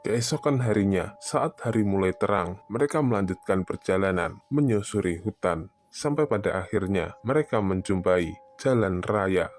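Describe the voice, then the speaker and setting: A man's voice: low-pitched, animated, normal speed, soft background music. A man narrating a scripted horror story as narrator.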